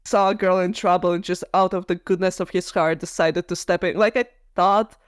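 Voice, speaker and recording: A woman's voice: lowish voice, steady tone, brisk, clean tone, no echo, studio quality. A woman co-hosting a podcast, chatting casually about books, opinionated and peppering her talk with "like". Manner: opinionated, heavy use of "like". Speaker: podcast co-host. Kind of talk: casual book discussion chat.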